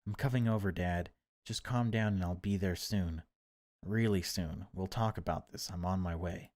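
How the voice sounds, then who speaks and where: A man's voice: deep voice, normal speed, darkish tone, close to an everyday voice. A man voicing an uneasy woman, the haunted protagonist of a horror game, hesitant and tense. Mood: confused, soothing.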